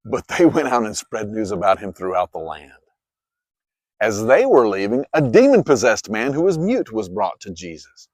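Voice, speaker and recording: A man narrating scripture as a dramatized story, with expressive, clearly phrased delivery. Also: lowish voice, moderate pace, dry recording. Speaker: Bible narrator.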